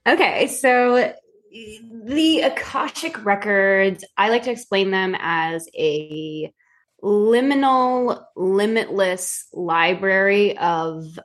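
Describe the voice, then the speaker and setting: A woman in her twenties, a guest on a podcast, telling stories animatedly with many fillers and slowing down to explain. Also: mid-range voice, animated. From Filipino heritage. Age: 20s. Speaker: podcast guest, psychic reader. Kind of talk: casual interview chat.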